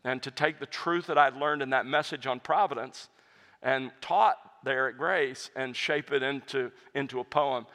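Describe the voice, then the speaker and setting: Earnest man talking about his faith, bright tone, echoey hall. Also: fairly high pitch. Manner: earnest, reflective, with frequent restarts. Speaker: pastor and hymn writer answering audience questions. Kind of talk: conversational Q&A talk. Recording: reverberant hall.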